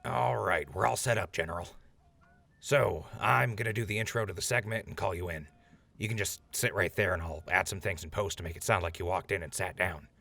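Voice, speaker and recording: An actor playing a chatty, upbeat radio host in an audio drama. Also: male voice, low voice, brisk, creaky, sharp tone, heavily acted. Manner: chatty, upbeat broadcaster patter. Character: eager male wasteland radio host. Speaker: radio show host in story.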